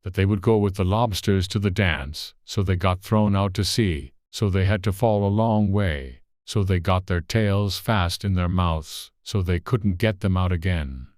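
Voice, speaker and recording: Deep, brusque griffin, performed by a narrator. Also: a little slow, few pauses, a little mellow, no room sound, clean studio sound. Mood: solemn, knowing. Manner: solemn, brusque, impatient. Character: gruff, impatient male griffin creature, solemn know-it-all.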